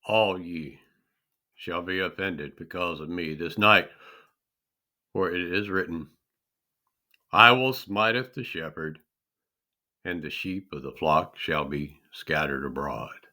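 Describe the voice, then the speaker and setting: Grave, tender male teacher of scripture, acted by a man reading aloud, drawn-out phrases, forceful and clear-edged tone. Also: low-pitched, voice pushed down, exaggerated voice. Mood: grave foretelling. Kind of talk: dramatic scripture storytelling.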